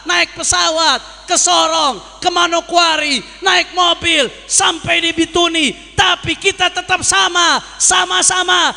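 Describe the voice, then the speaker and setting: A man's voice: very high voice, steady tone, measured pace, gravelly, hardly any pauses, bright, forceful tone, in a large hall. A man preaching a sermon to a congregation as an impassioned orator, using repetition and rhetorical questions. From Jakarta, Indonesia. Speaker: Islamic preacher.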